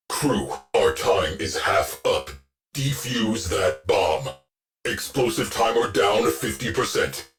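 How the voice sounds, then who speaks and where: A man playing a gruff, sardonic shipboard AI officer in a video game, giving clipped, deadpan military orders. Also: lowish voice. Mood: urgent, commanding. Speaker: ship's AI crew officer. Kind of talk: scripted video game voice lines.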